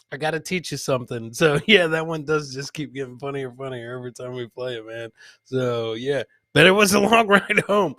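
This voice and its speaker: A man with a bright, punchy voice and a slightly high pitch hosts a casual live comedy show. The sound is dry and close. He chats loosely with his co-host and viewers, often saying a phrase twice for comic effect. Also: no room sound. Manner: chatty, addresses co-host and live viewers by name.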